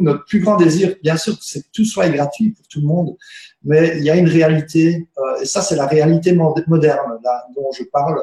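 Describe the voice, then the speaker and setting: A man's voice: on the high side, dark tone, echoey room, low-quality recording. A man who is not a native speaker, a guest therapist talking in a casual interview, hesitant, with many fillers and restarts. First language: not French.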